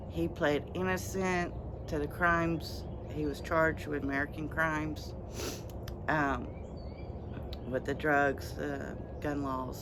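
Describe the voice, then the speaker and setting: A vlogger with a slightly scratchy voice, chatting casually outdoors in a rambling way with pauses. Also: medium pitch. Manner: rambling, pausing, unhurried. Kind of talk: casual outdoor chat to viewers. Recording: outdoor noise, passing vehicles.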